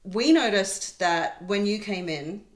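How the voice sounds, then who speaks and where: A woman's voice: mid-range voice, expressive, takes it slowly. A woman hosting a podcast as its producer, talking conversationally. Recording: roomy, echoey indoor sound.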